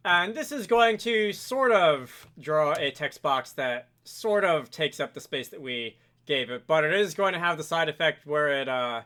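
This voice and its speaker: A man presenting an unscripted software tutorial, thinking aloud and correcting himself as he works. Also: on the high side. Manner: hesitant, self-correcting, thinking aloud while working. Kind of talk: unscripted screen-recorded software tutorial narration.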